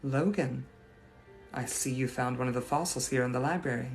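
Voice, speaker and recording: A man's voice: mid-range voice, moderate pace, faint music behind, lightly acted. A man playing a genteel lovelorn ghost lady. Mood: gracious. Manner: formal, old-fashioned and gracious. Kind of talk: improvised live roleplay answering viewers.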